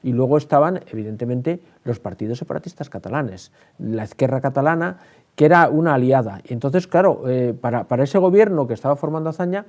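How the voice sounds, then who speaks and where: Fast-talking, mellow Spanish male historian. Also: medium pitch, animated. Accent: Spanish, from Spain. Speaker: historian guest. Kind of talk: conversational historical commentary.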